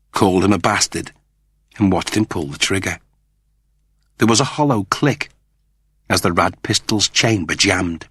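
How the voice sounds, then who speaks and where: A man with a fairly low, husky voice narrates a piece of fiction. His delivery is theatrical and animated, shaped like a dramatic audiobook reading. The sound is very dry, with no room around the voice. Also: dry recording.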